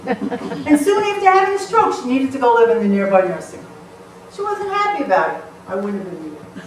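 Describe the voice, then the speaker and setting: Woman, high bright voice, storyteller on stage, picked up from far off in an echoey hall. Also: brisk. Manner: lively, playful, often laughing. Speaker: motivational speaker. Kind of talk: humorous storytelling talk to a live audience. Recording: echoey hall, mic far off, faint noise.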